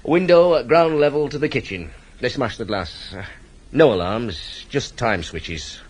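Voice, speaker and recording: A man's voice: medium pitch, lively intonation, unhurried. An actor playing a dutiful police sergeant, a British man with a plain, literal, deferential manner, in radio drama style. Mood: matter-of-fact. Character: dutiful, matter-of-fact male police sergeant, deferential to his boss.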